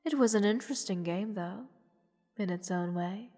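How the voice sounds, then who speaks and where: A woman playing a haughty, bored goddess in a roleplay audio drama, condescending and teasing in a languid, breathy delivery. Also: medium pitch, normal speed, dark tone, lightly acted. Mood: condescending, analytical. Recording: long reverb, music underneath. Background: orchestral music.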